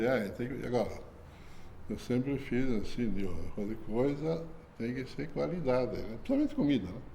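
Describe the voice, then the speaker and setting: An older man reminisces in a casual interview. He rambles in a folksy way, tags his sentences with "né?" and chuckles softly. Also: medium pitch, slight background noise. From southern Brazil. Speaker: company founder being interviewed.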